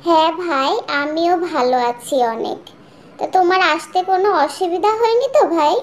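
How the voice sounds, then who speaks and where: A woman's voice: extremely high pitch, lively intonation, moderate pace. A woman voicing a devoted, hospitable village housewife and younger sister in a cartoon. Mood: delighted, fond. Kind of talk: Bangla cartoon dialogue.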